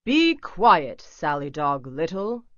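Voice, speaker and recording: A woman's voice: deep voice, big pitch swings, takes it slowly, no echo, exaggerated voice. A woman doing a stern, formal storybook father. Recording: slightly dull dry recording.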